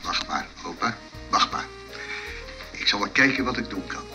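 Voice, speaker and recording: A man's voice: on the low side, expressive, normal speed, over music, natural voice. An actor playing a wry man of a comic household in a Dutch radio play. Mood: soothing.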